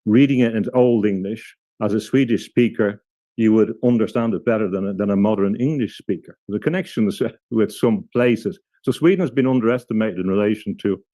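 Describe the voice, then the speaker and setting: A man giving thoughtful, halting explanations as an interview guest, often restarting his sentences. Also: fairly low pitch, warm tone, no room sound.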